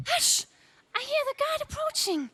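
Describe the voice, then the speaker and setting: Very high-pitched melodramatic heroine played by a woman. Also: pushed and bright, dry recording, heavily acted. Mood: tense. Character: young heroine, anxious, devoted and breathlessly dramatic. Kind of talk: 1930s space serial radio acting.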